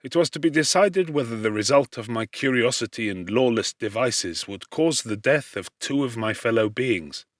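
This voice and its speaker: A man with a mid-pitched voice narrates literary fiction. His delivery is solemn and expressive, measured like formal dramatic storytelling. The recording is dry, with no room sound around the voice. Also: no echo. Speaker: audiobook narrator.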